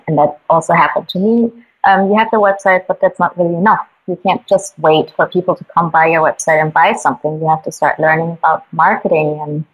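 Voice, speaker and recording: A woman's voice: fairly low pitch, highs cut off. A young woman from Colombia, raised in Germany, coaching artists on business, speaking thoughtfully with frequent hesitations. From born in Colombia, raised in Germany. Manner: thoughtful, hesitant with many ums. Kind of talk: casual interview.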